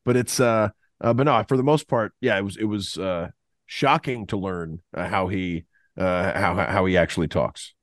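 A man's voice: low-pitched, moderate pace, dry recording. Stammering podcast co-host man, dry sound. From Southern United States. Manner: stammering restarts, animated storytelling. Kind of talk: casual sports podcast chat.

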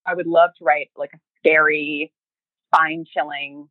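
A woman with a slightly low voice chats casually as an interview guest. She feels her way through sentences, restarting and quoting remembered lines as she goes. The sound is close and fairly dry. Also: flat delivery, dry recording, phone-quality sound. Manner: chatty, halting, acts out remembered thoughts and emails in quoted speech. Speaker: podcast guest, fiction podcast creator and writer.

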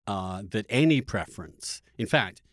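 A man hosting a conversational interview, thinking aloud in a halting, opinionated way. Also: on the low side, expressive, no room sound, clean studio sound. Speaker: interviewer, podcast host.

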